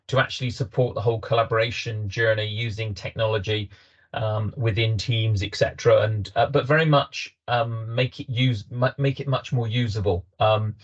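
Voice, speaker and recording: A man's voice: medium pitch, dull audio. A British man hosting a business webinar, talking hesitantly with many ums and restarts. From Britain. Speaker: webinar host, company representative.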